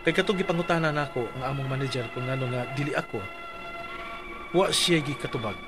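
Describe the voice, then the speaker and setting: A man's voice: medium pitch, brisk, over music. A man reading out his dramatized complaint letter for a radio advice show, earnest and pleading.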